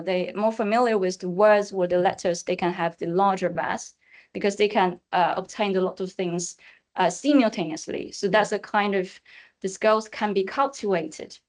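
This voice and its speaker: Hesitant woman speaker answering questions. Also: mid-range voice. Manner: hesitant, repeats herself. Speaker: conference speaker.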